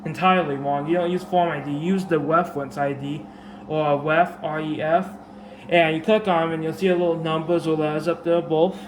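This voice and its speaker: A man with a slightly raised pitch and a dry, sore throat that roughens his voice a little talks through a game tutorial. He wanders and restarts, filling gaps with ums and alrights. The recording is echoey, with steady background noise from the camera mic. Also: a little fast. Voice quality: slightly raspy. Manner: rambling, many fillers and restarts. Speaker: gaming video creator. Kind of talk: casual game tutorial commentary.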